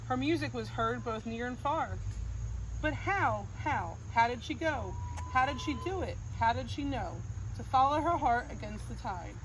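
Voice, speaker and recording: A woman reading a rhyming picture book aloud in a gentle sing-song cadence, recorded outdoors on a farm. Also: fairly high pitch, expressive, moderate pace. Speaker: storybook reader. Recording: noisy outdoor recording with crickets.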